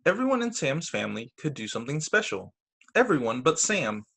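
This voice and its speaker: A man's voice: on the high side, fairly quick, creaky, breathy edge. A man reading picture books aloud to children as a teacher, expressively, with casual asides about the pictures. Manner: expressive, acts out quoted lines, comments on the pictures. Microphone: video call.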